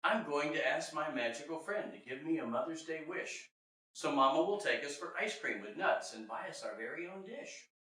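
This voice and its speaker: A man's voice: fairly high pitch, lively intonation, normal speed, sharp tone. A man voicing a dreamy, innocent four-year-old girl in a rhyming children's story. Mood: hopeful, innocent. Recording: very echoey room, distant mic.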